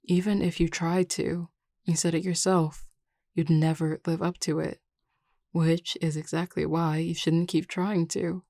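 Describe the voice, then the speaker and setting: A woman voicing a composed, teasing and reassuring werewolf pack leader in an audio roleplay, measured, assured and dryly wry. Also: lowish voice, flat delivery, dark tone, no room sound, clean studio sound. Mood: firm, reassuring. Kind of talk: intimate audio roleplay acting.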